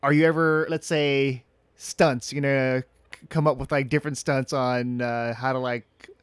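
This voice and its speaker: Male interviewer, mid-pitched, easygoing with lots of fillers, dry close-miked sound. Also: fairly even intonation, normal speed, clear, resonant tone, dry recording. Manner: agreeable, frequent fillers and backchannel. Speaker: interviewer, host. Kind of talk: casual interview chat.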